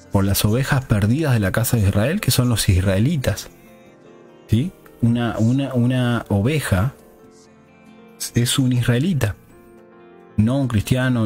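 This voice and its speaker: A man's voice: medium pitch, darkish tone. A man preaches a teaching sermon in a didactic way, often checking in with listeners through short rhetorical questions.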